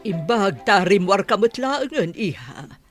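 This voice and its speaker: A woman playing a stern older mother figure with a husky voice in a radio drama. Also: medium pitch, sing-song, unhurried, over music. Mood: grave. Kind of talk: Filipino radio drama acting.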